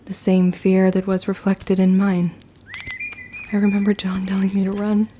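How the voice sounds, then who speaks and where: A bookish, earnest young witch in a period drama, acted by a woman. Her tone is dark and mellow at a middling pitch. She talks in formal, old-fashioned phrases, over slight noise. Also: completely flat delivery, moderate pace, lightly acted. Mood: shaken, confessional. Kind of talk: period audio drama acting and narration.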